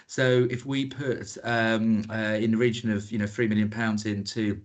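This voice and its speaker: Man, lowish voice, monotone, halting, lots of ums, formal committee report. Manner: hesitant, many fillers and restarts. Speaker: council officer presenting budget.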